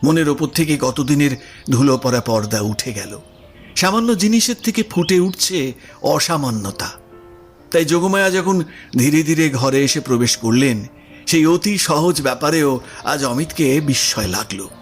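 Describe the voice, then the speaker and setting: A male narrator reads a Bengali literary audio story. His voice sits a little high for a man, in scripted storytelling delivery, with music playing behind it. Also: expressive, normal speed.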